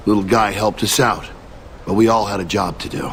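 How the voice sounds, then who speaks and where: An actor playing a battle-hardened soldier giving grave, measured testimony in a game cutscene. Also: male voice, on the low side, steady tone, natural voice. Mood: grim, resolute. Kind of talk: dramatic game cutscene narration. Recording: loud music and vehicle noise behind.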